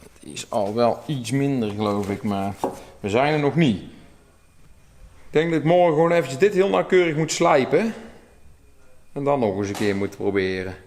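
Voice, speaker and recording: A man's voice: medium pitch, normal speed, darkish tone. A Dutch man with a Dutch accent, hosting a workshop vlog and wrapping up the day hesitantly with many fillers. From Netherlands. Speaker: vlog host. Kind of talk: casual vlog wrap-up. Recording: echoey room with faint noise.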